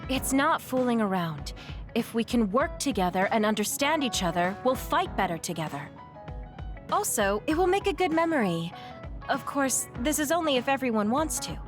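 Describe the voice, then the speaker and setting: A woman's voice: a little high, sing-song, normal speed. A woman playing an upbeat, persuasive young military major in an anime game dub. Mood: upbeat, encouraging. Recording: music clearly behind the voice.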